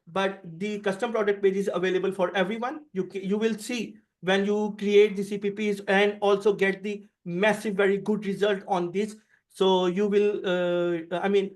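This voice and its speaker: A man with a high-pitched voice speaks as a panelist, explaining in non-native English. His delivery is halting and repetitive, and he often rewords his phrases as he goes. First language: not English. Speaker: panelist, app marketing specialist.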